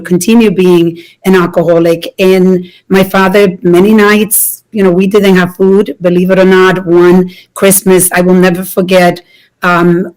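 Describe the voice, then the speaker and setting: A woman in her fifties from the Dominican Republic, telling her life story to an audience in an earnest way, with many small pauses. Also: mid-range voice, rough recording. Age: 50s. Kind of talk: personal talk to an audience.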